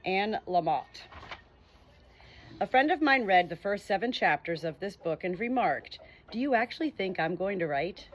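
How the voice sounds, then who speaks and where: A woman reading a nonfiction book chapter aloud as an audiobook, with earnest, expressive emphasis. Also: mid-range voice, moderate pace, slight background noise. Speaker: audiobook reader.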